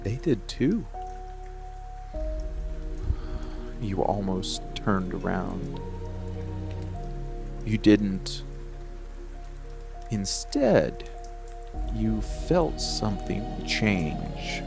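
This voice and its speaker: Man acting a gravelly, slow-murmuring monster boyfriend. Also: sing-song, full character voice. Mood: calm, ominous. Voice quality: low, gravelly, breathy murmur often near a whisper. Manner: slow, deliberate delivery with long pauses. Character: possessive dark monster boyfriend, hidden protective watcher. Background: rain and music.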